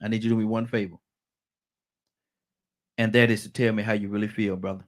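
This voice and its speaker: A male radio host who talks in a halting, amused way, with plenty of fillers. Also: lowish voice, flat delivery. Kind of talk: casual talk radio chat.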